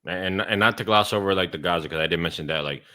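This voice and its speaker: A man with a very low voice hosts a casual podcast conversation. He speaks in a relaxed, slangy way and often drops in "bro" and "dude.". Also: fast. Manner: laid-back, slangy, says "bro" and "dude". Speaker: podcast host and fitness coach.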